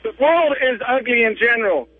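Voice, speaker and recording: Fast combative man on the phone. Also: very high voice, expressive, a loud crowd. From United States. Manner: combative, keeps repeating himself. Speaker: caller. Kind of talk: heated call-in argument. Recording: tinny phone line.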